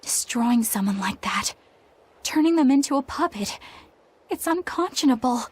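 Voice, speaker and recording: A woman's voice: high voice, lively intonation, moderate pace, husky. A woman playing an earnest, principled young woman in a fantasy video game, speaking sincerely and properly. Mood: solemn.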